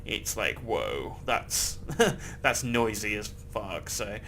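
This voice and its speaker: Bright-voiced man rambling through gameplay. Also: fairly low pitch, animated, slow, gravelly, slight background noise. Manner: rambling and chatty, swears casually, laughs often. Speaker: gameplay video host. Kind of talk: casual gameplay commentary.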